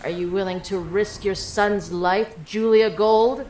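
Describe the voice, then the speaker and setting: A woman's voice: medium pitch, fairly even intonation, unhurried, darkish tone, roomy sound, slight background noise, natural voice. A woman playing an imperious abyssal villain in an audio drama, drawling and taunting with mock politeness. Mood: smug, menacing. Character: mocking, imperious abyssal female villain, ancient sea witch.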